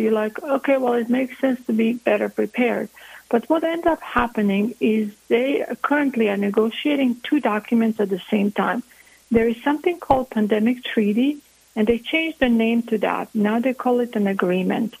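Gravelly Croatian-accented woman doctor. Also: a little high, a little mellow, no room sound, poor audio. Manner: earnest, explanatory. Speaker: family physician guest. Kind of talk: interview answers.

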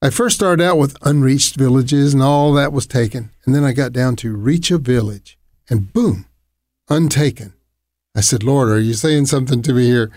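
A man leading a ministry, sharing how it began in earnest, halting speech. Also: mid-range voice, a little mellow, no room sound, studio quality. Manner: earnest, halting, with fillers and restarts. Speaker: ministry leader, co-host. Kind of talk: sharing a ministry's origin story.

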